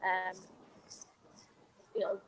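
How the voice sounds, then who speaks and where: A woman's voice: extremely slow, a little hiss or hum, poor audio. A Welsh woman and former player answering interview questions in an earnest, passionate way. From Wales. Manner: earnest, passionate, warm praise.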